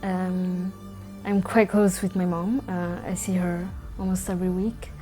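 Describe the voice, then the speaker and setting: A young woman from Italy, calmly telling her own story with some fillers. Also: on the low side, faint music behind. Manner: calm, measured, with fillers. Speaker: documentary interviewee. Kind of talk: personal testimony.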